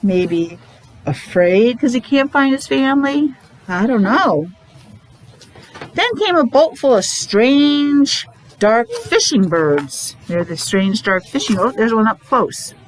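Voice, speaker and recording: A woman with a somewhat high voice reads a picture book for children. She speaks as a teacher would, breaking off for casual explanations and simple questions. There is faint noise behind her. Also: very animated, slow, low-quality recording. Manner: child-directed, asking questions, repeating words for emphasis. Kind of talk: animated read-aloud with casual explanatory asides.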